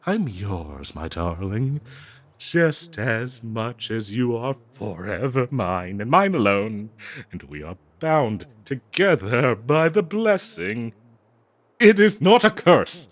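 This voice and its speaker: A possessive cursed blade croons to its owner at a very slow, savoring pace. The male voice swings widely in pitch, melodramatic and lovestruck with a bloodthirsty streak, in the style of an intimate audio roleplay. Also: sing-song. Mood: tender, obsessive. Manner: melodramatic, cooing, slow drawn-out delivery with manic giggles. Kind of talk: theatrical audio roleplay monologue addressed to the listener.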